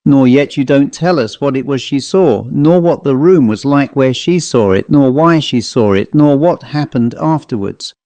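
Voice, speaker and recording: A man's voice: medium pitch, expressive, moderate pace, hardly any pauses, dark tone, dry recording, close to an everyday voice. A man voicing a smart, dapper travelling salesman, opinionated and assertive, in a story reading. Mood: critical.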